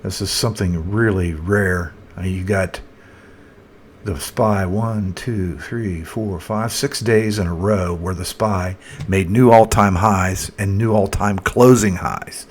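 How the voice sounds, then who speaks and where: Very deep-voiced man, halting market commentary. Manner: halting, thinking aloud. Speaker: market analyst presenter. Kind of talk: casual unscripted chart commentary. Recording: noticeable background noise.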